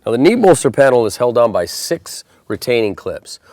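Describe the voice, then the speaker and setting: A man with an American accent walks through instructions step by step as a tutorial presenter. His voice sits at an ordinary male pitch. The sound is dry, with very little room around it. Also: very animated, normal speed, a little mellow, no room sound.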